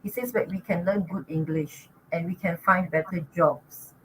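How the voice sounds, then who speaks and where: An older Singaporean woman talks through her memories in a chatty, easygoing way, laughing as she goes. Her voice sits a little low. A faint noise hangs under the recording. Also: steady tone, normal speed, a bit bright, low-quality recording. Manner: chatty, laughs often, many restarts. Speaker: guest. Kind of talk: casual interview talk.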